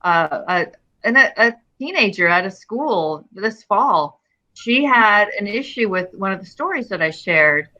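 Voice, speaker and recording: Bright-voiced expressive middle-aged woman. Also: medium pitch, unhurried, highs cut off. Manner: earnest, expressive, self-correcting restarts. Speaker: interview guest. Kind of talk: casual interview conversation.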